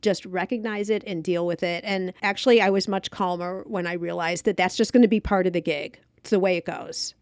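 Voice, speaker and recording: A woman's voice: on the low side, fairly even intonation, a little fast, hardly any pauses, no room sound, studio quality. A woman hosting a conversational podcast interview in a chatty, affirming, casually self-deprecating way. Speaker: podcast host.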